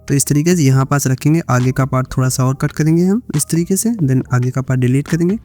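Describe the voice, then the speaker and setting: A man's voice: mid-range voice, fast talker, warm tone, faint music behind. An Indian man narrating a step-by-step editing tutorial, mixing English words into repetitive instructions. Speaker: video editing tutorial creator.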